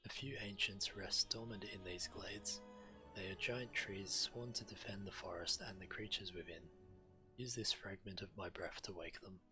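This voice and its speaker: Low-voiced man reading quest text aloud. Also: steady tone, normal speed. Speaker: game streamer. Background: game music.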